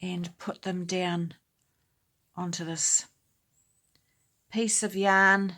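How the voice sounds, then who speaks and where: A woman with a slightly croaky voice hosting a casual knitting tutorial at home, explaining each step in a chatty, unhurried way. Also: fairly low pitch, steady tone, bright, forceful tone. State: slightly croaky voice from talking a lot. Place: indoors at home.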